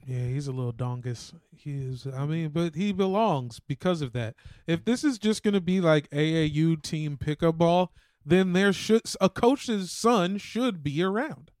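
A man with a slightly high voice banters as a podcast co-host. His talk is casual sports comedy, recorded close and dry with no room sound. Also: lively intonation, unhurried, a little mellow, no room sound.

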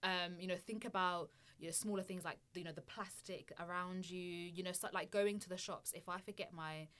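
Guest, woman from Somalia; low-pitched, casual, thoughtful, filler-heavy delivery. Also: steady tone, normal speed, fairly bright tone, smooth. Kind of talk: casual conversation.

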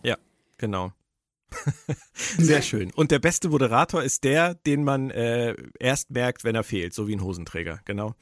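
A man's voice: medium pitch, animated, moderate pace, dry recording, clean studio sound. Chatty man hosting a podcast. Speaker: podcast host. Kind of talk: casual podcast chat.

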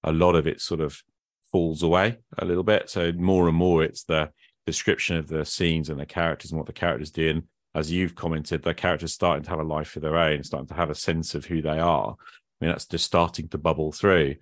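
Man, very low pitch, dry recording, podcast host, casual reflective chat, hesitant and self-qualifying. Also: level tone, brisk, no room sound.

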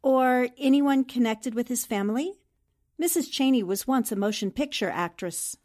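A woman plays a clever female newspaper reporter from an old-time radio mystery. Her voice sits fairly high. She speaks with poise and deliberate confidence. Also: animated, a little mellow, close to an everyday voice. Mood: sympathetic, probing. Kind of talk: 1940s-style radio drama acting.

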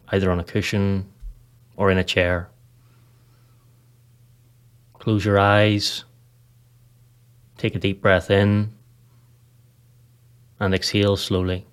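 A man leading a scripted guided meditation in a calm, measured manner, leaving pauses between short phrases. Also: fairly low pitch. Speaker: podcast host, meditation guide.

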